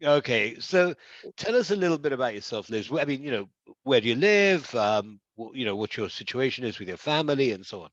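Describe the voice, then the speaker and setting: A man's voice: mid-range voice, very animated, brisk, dry recording. Middle-aged man, tutor-host, friendly rambling delivery, dry dull call audio. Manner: hesitant and warm, lots of restarts and fillers. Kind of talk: casual interview chat. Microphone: video call.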